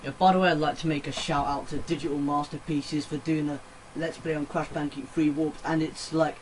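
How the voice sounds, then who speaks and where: A man's voice: a little high, little pitch movement, brisk, slight background noise, poor audio. A young man giving casual, rambling live game commentary, often repeating his phrases. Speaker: let's play host, player. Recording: loud game music behind voice. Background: video game music and engine sounds.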